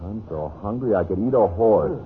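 An old, faintly noisy radio drama recording carries an actor as a hearty American man. His lowish voice moves animatedly as he coaxes and insists like a cheerful host. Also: lively intonation, moderate pace, narrow, tinny audio, lightly acted. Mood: jovial, insistent. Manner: jovial, coaxing, insistent. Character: hearty, insistent male host at an island cabin. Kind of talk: mid-century American radio drama acting.

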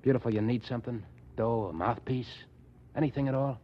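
An actor playing a cocky, folksy tough gang boss in slangy, ungrammatical talk, in 1940s radio style. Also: male voice, medium pitch, brisk, slight background noise, close to an everyday voice. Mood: protective, insistent. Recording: muffled old 1940s radio recording.

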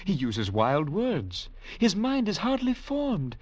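An actor playing a wary, commanding blind villager in 1940s radio drama, with a theatrical, archaic, declamatory delivery. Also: male voice, high voice, wildly swooping pitch, takes it slowly, no echo, full character voice. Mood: scornful.

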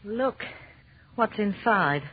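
Professor's wife, easily frightened, pleading, very animated delivery, narrow noisy vintage radio sound. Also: female voice, mid-range voice, drawn-out words. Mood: afraid. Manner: hesitant, apprehensive, pleading. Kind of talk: 1930s radio horror acting. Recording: muffled noisy 1930s radio recording.

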